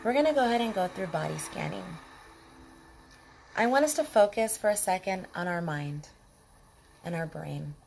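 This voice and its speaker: A woman leading a guided body scan meditation in a calm, soothing manner, speaking in short, unhurried phrases with pauses. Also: on the low side, gravelly, a little hiss or hum. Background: music behind the voice.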